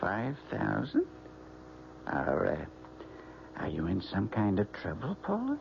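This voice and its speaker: An actor playing a kind, generous older male family friend in a 1970s radio drama, with a gravelly voice and a gentle, hesitant way of talking. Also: on the low side, huge pitch swings, slow pace, slight background noise, heavily acted. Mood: concerned. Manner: gentle, hesitant, softly spoken. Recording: dull lo-fi old radio broadcast.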